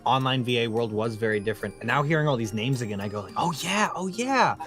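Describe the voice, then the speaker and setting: A man's voice: mid-range voice, animated, quick pace, over music. A man who works as a voice actor, chatting casually on a livestream panel with joking asides.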